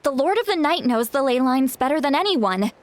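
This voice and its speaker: Young woman seer for a game, earnest and urgent, high-pitched. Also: expressive, a little fast, noisy background. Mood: grave. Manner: urgent, explaining, hesitant asides. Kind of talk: English video game voice acting. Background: faint game music.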